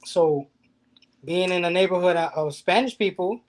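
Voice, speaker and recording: A man's voice: high voice, moderate pace. Earnest man talking in a casual chat. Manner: earnest, speaks with some repeated restarts. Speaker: guest. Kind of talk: casual discussion.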